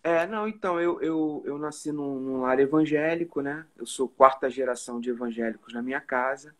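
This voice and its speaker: A man's voice: mid-range voice, fairly quick. Carioca man telling stories over a video call. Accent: Carioca Portuguese. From Rio de Janeiro, Brazil. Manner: relaxed storytelling with many "né?" tags. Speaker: musician guest. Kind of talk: casual live video chat. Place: indoor room at home. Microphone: phone or webcam on a video call.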